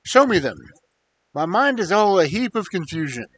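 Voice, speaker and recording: A man's voice: high voice, sing-song, normal speed, a bit bright, slightly rough, voice pushed up, heavily acted. A man voicing a bewildered, courteous enchanted prince in a storybook fairy tale. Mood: confused, agitated.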